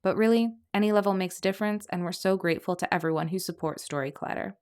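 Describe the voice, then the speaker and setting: A woman's voice: lowish voice, fast talker, darkish tone, smooth. A woman podcast host reading scripted narration between stories.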